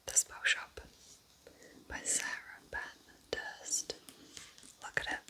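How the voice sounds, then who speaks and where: A woman whispering softly in a gentle, unhurried and chatty ASMR style, recommending books as in a cosy roleplay. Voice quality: soft whisper. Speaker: ASMR host playing a kind witch recommending books.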